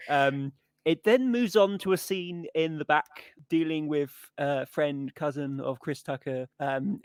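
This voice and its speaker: A man co-hosting a podcast, casually discussing a film with sweary, animated retelling. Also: fairly high pitch, dry recording. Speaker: podcast co-host.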